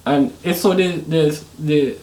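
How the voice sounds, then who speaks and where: A man's voice: on the high side, unhurried, a little mellow, echoey room, poor audio. A man with a mixed Vincentian, Trinidadian and slightly American accent, talking casually as an interview guest. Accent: Caribbean, Vincentian-Trinidadian mixed with some American. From Saint Vincent and Trinidad. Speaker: podcast guest, Caribbean hip hop artist.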